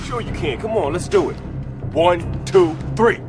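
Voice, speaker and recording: An actor playing a dim, brash, trigger-happy street thug in a comic video game scene, blunt and full of swagger. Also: male voice, sits high, unhurried, music in the background. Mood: cocky. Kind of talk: comic game cutscene dialogue.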